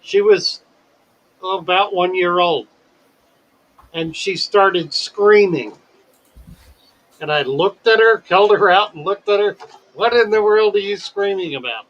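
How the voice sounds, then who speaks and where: An older man hosting a casual livestream chat, talking in a slow, wordy and deliberate way and telling stories. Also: sits high, a bit bright, muffled recording. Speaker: livestream host.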